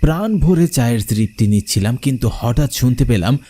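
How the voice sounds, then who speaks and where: A man's voice: medium pitch, expressive, fairly quick, warm tone, slight background noise. A man narrating a scripted horror story in the first person, in a dramatic, suspenseful manner. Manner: suspenseful, theatrical.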